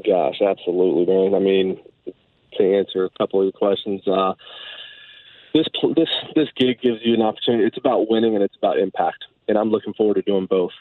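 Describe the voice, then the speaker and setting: Earnest deep-voiced man on a phone line. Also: steady tone, fairly quick, no echo. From United States, Dominican background. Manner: earnest, many fillers and restarts. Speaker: baseball manager, guest. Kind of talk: phone-in radio interview.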